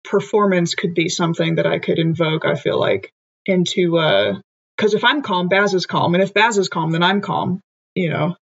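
A woman's voice: fairly low pitch, moderate pace, slightly rough. A woman playing a tabletop game, thinking aloud as she weighs her options. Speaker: player. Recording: slightly dull lo-fi mic.

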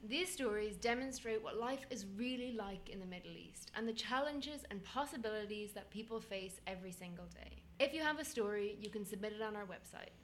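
A woman from Ireland, hosting a language podcast in a clear, measured, scripted way. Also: medium pitch, a little hiss or hum. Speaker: podcast host. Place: room in a city with traffic outside.

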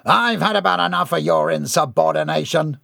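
A man voicing a pompous, self-crowning male swindler tycoon in a read-aloud story, speaking in a grand, drawn-out, theatrical way. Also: high-pitched, very animated, vocal fry, fairly bright tone, no echo, studio quality, voice pushed up, heavily acted. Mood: indignant. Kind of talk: storybook character voice.

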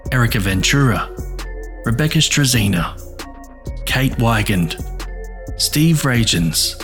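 A man introduces a horror anthology as its podcast host. He speaks slowly at an average pitch, reading a scripted welcome with soft music behind.

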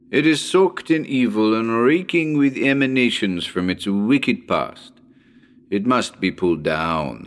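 A man performs a grave foreign professor of the occult in a horror tale. The voice is low and rises and falls dramatically, with deliberate, halting phrases full of portent and solemn warning. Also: full character voice. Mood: ominous, grave. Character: grave foreign psychic professor, ghost hunter, ominous and solemn. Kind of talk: dramatic reading of a horror story.

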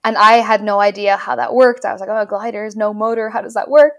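A woman's voice: medium pitch, steady tone, fairly quick. A young woman from Tanzania, a pilot guest answering interview questions enthusiastically with many fillers and restarts. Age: young adult.

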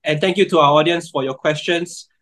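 A man's voice: on the high side, fairly quick, a bit bright. Friendly male moderator wrapping up. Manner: friendly, thankful, slightly rambling. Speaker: event host and moderator. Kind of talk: closing remarks at a public talk.

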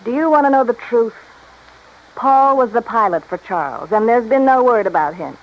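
An actress playing a cold, bitter femme fatale in a 1950s radio drama, dramatic and taunting. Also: female voice, a little high, lively intonation, moderate pace, natural voice. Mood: bitter, wistful. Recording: muffled old radio recording, faint noise.

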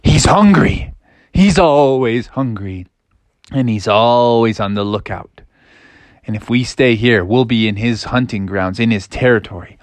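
A woman plays a father frog in a storybook tale. He talks in folksy, drawn-out phrases that swing widely in pitch. He is a cautious parent who is easily flustered. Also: expressive, no room sound. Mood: ominous, wary.

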